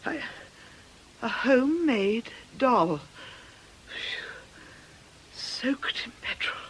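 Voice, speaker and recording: A British actress playing a brisk, sensible, ageing nun in a radio drama, articulate and gently scolding. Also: female voice, fairly high pitch, sing-song, a little hiss or hum, exaggerated voice, rough recording. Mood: alarmed, breathless. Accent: British English. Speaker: actor.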